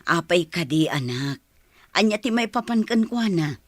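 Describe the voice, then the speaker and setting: A woman's voice: fairly low pitch, lively intonation, moderate pace, no echo. A woman playing a middle-aged mother talking with her daughter in an Ilocano radio drama. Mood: curious, uneasy.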